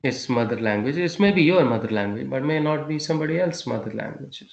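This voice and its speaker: A male teacher giving a class lesson, quizzing his students, with a halting delivery full of fillers and restarts. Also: mid-range voice, lively intonation, vocal fry, echoey room.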